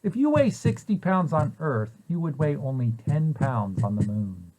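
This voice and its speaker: A man reads a picture book aloud for young children in a lively, swooping voice that sits a little high. His delivery is that of a storyteller, setting out each question and then its answer clearly. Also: normal speed, low-quality recording. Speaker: preschool site director reading aloud.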